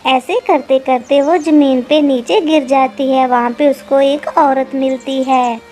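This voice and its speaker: A woman reading scripted narration for a children's animated story. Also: high-pitched, measured pace, soft background music.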